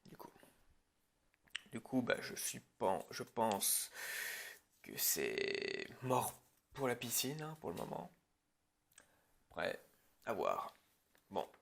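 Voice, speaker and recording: Man acting bossy irritable film director, mid-pitched, unhurried delivery, curt and sarcastic, muttered hesitations, parody roleplay. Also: wildly swooping pitch, creaky, a bit bright, heavily acted. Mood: hesitant, muttering. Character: irritable, bossy male film director running a prep meeting. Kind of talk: improvised ASMR roleplay parody.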